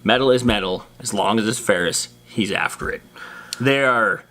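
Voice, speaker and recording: Give this a man with a fairly low, bright, forward voice, hosting an unscripted tabletop gaming explainer. He talks casually and slangily, rambling along and leaning on dramatic emphasis for his viewers. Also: moderate pace. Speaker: host of a tabletop roleplaying monster lore series.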